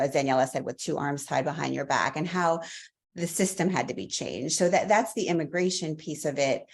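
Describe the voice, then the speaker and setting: A woman's voice: low voice, a little mellow. A woman professor answering interview questions, telling her story fluently with a few fillers. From Latvia. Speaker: guest, professor.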